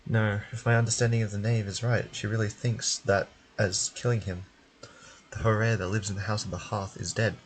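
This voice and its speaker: A man's voice: lowish voice, flat delivery, brisk, a little hiss or hum, rough recording. A man streaming a game, giving casual commentary and thinking aloud as he explains the story.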